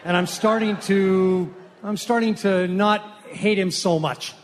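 Old grandfather, factory owner, gruff and halting, unhurried delivery, high for a man, radio comedy stage, hall reverb. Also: fairly even intonation, noisy background. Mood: halting, warming. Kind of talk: lighthearted radio theatre parody acting. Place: live theatre stage in a large hall.

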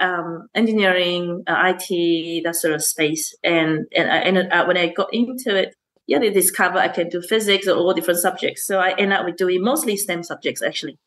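A woman speaks at a middle pitch. She is a teacher answering interview questions. She talks hesitantly, with many fillers, restarts and frequent laughs. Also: a bit bright. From Malaysia. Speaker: STEM teacher and councillor.

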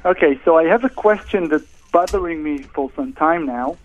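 A man with an Israeli accent calls in over a dull, muffled line. His voice sits a bit high for a man, and he puts his questions simply and directly. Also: normal speed. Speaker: caller. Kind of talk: phone-in question.

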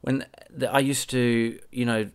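A man's voice: medium pitch, steady tone, a little slow, clear, resonant tone, no echo. An Australian man from Perth hosting his own podcast, chatting casually and rambling, often correcting himself and swearing now and then. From Perth, Western Australia. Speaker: podcast host and musician. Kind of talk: casual solo podcast chat.

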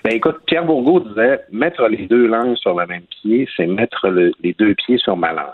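A man with a Quebec French accent talking casually on the radio as a guest, relaxed and hesitant with many fillers. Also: fairly low pitch, normal speed, no echo. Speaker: columnist guest. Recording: phone line.

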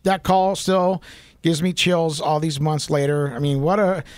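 Higher-pitched man chatting sports, halting. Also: fairly even intonation, no echo, studio quality. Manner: halting, repeats phrases. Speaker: sports show co-host. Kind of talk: casual sports interview chat.

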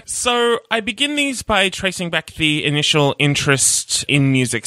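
A man's voice: mid-range voice, bright, forceful tone, clean tone, no room sound. A man hosting a music podcast, chatting enthusiastically and laughing often. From Australia. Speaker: podcast host. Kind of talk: casual interview chat.

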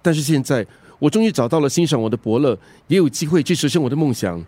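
An actor playing a repentant middle-aged ex-husband in a radio drama, earnest, conciliatory and pleading. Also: male voice, a little high, expressive, fast, mellow, dry recording. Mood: remorseful, pleading. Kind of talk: Chinese radio drama acting.

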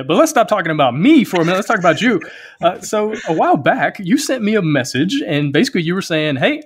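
Podcast host dude, talks quick and a bit high, really pumped about everything. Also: male voice. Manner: enthusiastic, encouraging questions. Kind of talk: casual interview chat.

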